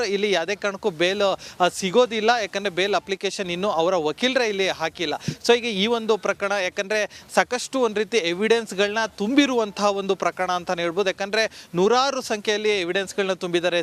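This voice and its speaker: A man's voice: high-pitched, a bit bright. A man reporting live for the news, explaining at length in a wordy, repetitive way.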